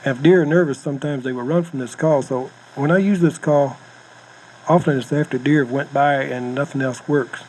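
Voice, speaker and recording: A man's voice: mid-range voice, steady tone, normal speed, darkish tone. An American man giving informal hunting instruction on a cassette tape, with plainspoken, folksy phrasing. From United States. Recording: noisy home-style cassette tape recording.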